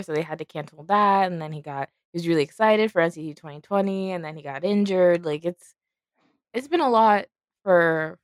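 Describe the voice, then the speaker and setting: A woman's voice: fairly low pitch, no echo, clean studio sound. A young woman co-hosting a podcast, chatting casually and filling her talk with "like". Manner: chatty, uses lots of "like" and "y'all". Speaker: podcast co-host. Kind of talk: casual chat.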